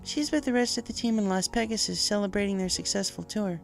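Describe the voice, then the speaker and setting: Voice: medium pitch, quick pace, creaky, faint music behind, pitched above the performer's own voice, lightly acted. A narrator voicing a gracious, studious young mare in a storybook reading. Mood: pleasant, gracious.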